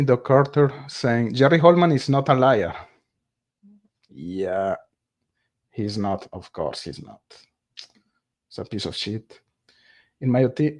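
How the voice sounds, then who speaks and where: A man who is not a native English speaker, hosting a casual live stream, reading viewer comments aloud and pausing often to search for words. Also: mid-range voice, darkish tone. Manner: halting, searches for words, many fillers. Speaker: livestream host reading viewer chat.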